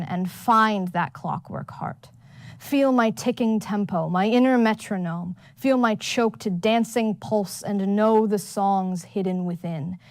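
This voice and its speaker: Slow-paced woman reciting poetry dramatically. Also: medium pitch, dry recording, clean studio sound. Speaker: student poet.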